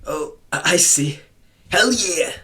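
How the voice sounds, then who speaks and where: A man's voice: fairly high pitch, animated, pushed and bright. Joking man reacting to a game. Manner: joking, quick asides, stammering reactions. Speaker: let's play host. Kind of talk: casual gameplay commentary.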